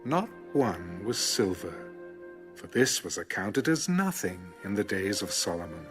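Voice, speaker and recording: A man's voice: low-pitched, big pitch swings, a little slow. A man narrating scripture from a script in a dramatic audio Bible style. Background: background music.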